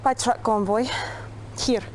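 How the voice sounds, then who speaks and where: An actress playing a commanding, cool Soviet military adviser, giving clipped, authoritative orders in a game cutscene. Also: female voice, a little high, fairly even intonation, measured pace, slight background noise, close to an everyday voice. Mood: tense. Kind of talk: dramatic video game cutscene acting.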